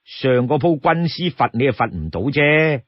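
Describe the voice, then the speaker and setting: A man plays a shrewd young outlaw from a storybook, exasperated and firm as he lays down rules. The voice is husky and admonishing, close and dry, with a slightly dull sound. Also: medium pitch, big pitch swings, moderate pace, dry recording. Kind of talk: Cantonese storytelling character voice.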